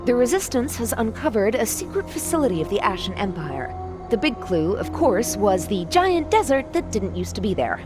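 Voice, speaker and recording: A woman voices a quirky young mage briefing heroes in a fantasy game. Her delivery is very lively and casual, with flippant asides over clear background music. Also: mid-range voice, normal speed, lightly acted. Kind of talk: comic game briefing dialogue.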